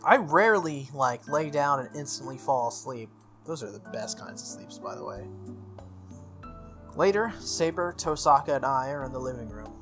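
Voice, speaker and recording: A man's voice: mid-range voice, expressive, normal speed, a bit bright. Man reading a game story over music. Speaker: host of a game playthrough. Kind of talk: reading a visual novel aloud with casual asides. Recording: music playing behind the voice.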